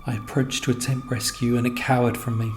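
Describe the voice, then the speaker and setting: A man reading scripted literary narration as a storyteller, in measured, deliberate phrases with pauses. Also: mid-range voice, little pitch movement, noisy background. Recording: music under the voice. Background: music.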